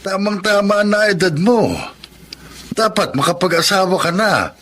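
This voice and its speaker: A middle-aged Filipino father in a radio drama, nosy but caring. His folksy, advising voice is forceful and bright, pitched a little high. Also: animated, unhurried. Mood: prodding, folksy.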